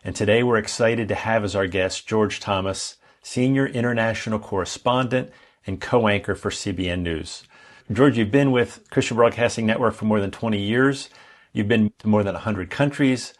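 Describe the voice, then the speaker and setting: Low-voiced male host, polished intro. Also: normal speed. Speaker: interview host. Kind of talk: scripted show introduction.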